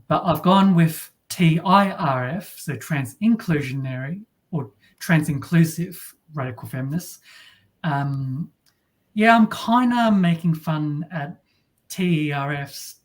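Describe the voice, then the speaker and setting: Deep-voiced woman, wry and halting. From Australia. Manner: wry, halting, with many restarts. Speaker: guest. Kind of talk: casual interview talk.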